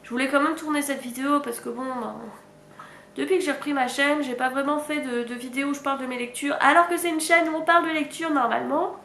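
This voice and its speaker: Young woman vlogging about books w a cold, high voice, echoey room, faint rumble behind. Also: steady tone, quick pace, sharp tone. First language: French. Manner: chatty, frank, with sighs and little laughs. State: sick with a stuffy nose. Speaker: book vlogger. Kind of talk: casual reading update.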